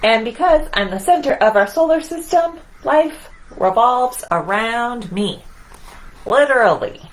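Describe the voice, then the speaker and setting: A woman plays a cocky storybook Sun, very full of itself. The voice is high and swings widely as it brags with theatrical emphasis, over clear background noise. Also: animated, measured pace, poor audio. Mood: smug, showy. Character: boastful, cocky, self-important Sun, a star. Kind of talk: children's picture-book read-aloud.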